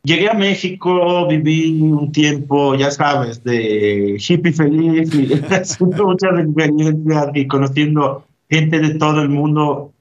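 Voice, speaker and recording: A man's voice: on the high side, slow pace, hardly any pauses, darkish tone, lo-fi sound. A man from Italy, a grief therapist, answering interview questions thoughtfully with frequent hesitations and tag questions.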